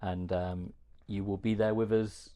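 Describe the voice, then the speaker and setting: Hesitant, low-voiced man hosting a podcast. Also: level tone, a little slow, mellow. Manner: hesitant, rambling, circles around questions. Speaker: podcast host. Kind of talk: casual interview talk.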